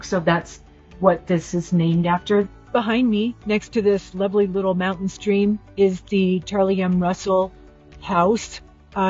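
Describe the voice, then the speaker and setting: Woman from the us giving a chatty outdoor tour on a phone, dull lo-fi sound, soft music behind. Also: medium pitch. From United States. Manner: chatty tour guide, fillers. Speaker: field reporter. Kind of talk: travel video report. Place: outdoors on a mountain road. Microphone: phone camera.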